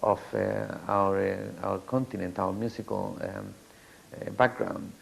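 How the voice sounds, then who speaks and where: Gravelly-voiced man. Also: fairly low pitch, little pitch movement, measured pace, a little hiss or hum, poor audio. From Latin America. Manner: hesitant, many fillers and restarts. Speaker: community organizer. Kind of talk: documentary interview.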